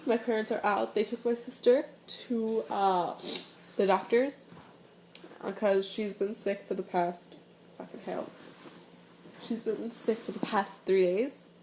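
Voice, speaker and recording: Young woman, higher voice, stuffy nose, rambling casual vlog chat, living room, faint room hiss. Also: unhurried, long pauses, darkish tone, low-quality recording. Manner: chatty, rambling with fillers and restarts. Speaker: vlogger. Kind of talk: casual chat, reaction review. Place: living room at home, indoors.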